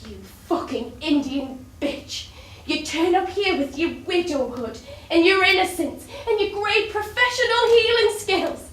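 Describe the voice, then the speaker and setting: A woman's voice: extremely high pitch, a little slow, fairly bright tone. Volatile, piercing, desperate jealous woman. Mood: scornful, anguished. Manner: volatile, foul-mouthed, sardonic, dramatic. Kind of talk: stage play acting. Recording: roomy, distant stage recording with faint noise.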